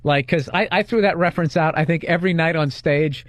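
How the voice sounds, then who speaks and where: A man co-hosting a comedy podcast, tossing out rapid jokes in casual banter. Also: a little high. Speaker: podcast co-host. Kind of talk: casual chat.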